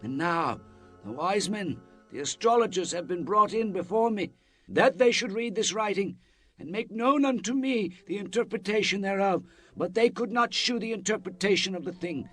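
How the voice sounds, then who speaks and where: Proud ancient king, formal declamation, higher pitch, animated intonation, music behind. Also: moderate pace. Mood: imperious. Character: proud Babylonian king addressing a captive seer. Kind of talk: dramatized Bible acting.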